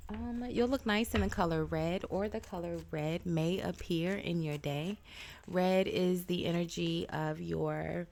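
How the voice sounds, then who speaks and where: Slow gravelly woman with a stuffy cold. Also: on the low side, darkish tone. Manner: slow, chatty, halting with many ums. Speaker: tarot reader. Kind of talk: casual tarot reading to camera.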